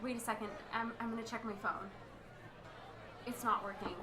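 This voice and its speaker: A woman's voice: on the high side, steady tone, moderate pace, a crowd in the background, noisy background, natural voice. A woman playing a scatterbrained young radio reporter in an amateur radio play, rambling and stammering as she goes. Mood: alarmed, confused. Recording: roomy, echoing sound.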